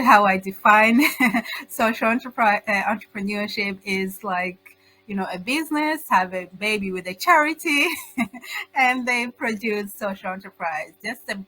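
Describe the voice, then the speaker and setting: A middle-aged woman with a Tanzanian accent giving informal, halting answers as an interview guest. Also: mid-range voice, sharp tone, faint music behind, rough recording. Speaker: guest, social entrepreneur.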